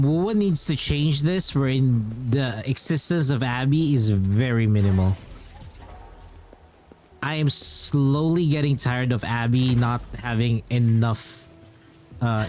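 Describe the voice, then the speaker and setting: A man's voice: mid-range voice, slow, darkish tone. A man streaming a video game, commenting casually as he plays. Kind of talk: casual live gameplay commentary. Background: game music.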